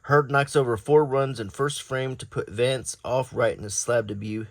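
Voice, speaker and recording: A man reading an old newspaper aloud in a low-key, casual ASMR style, adding offhand comments as he browses. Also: steady tone, moderate pace, vocal fry, no room sound, studio quality.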